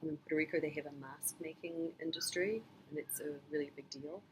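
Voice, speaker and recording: A middle-aged woman from New Zealand, answering her child's interview questions in a thoughtful, measured way with frequent pauses and ums. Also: low-pitched, steady tone, background noise. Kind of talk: casual family interview.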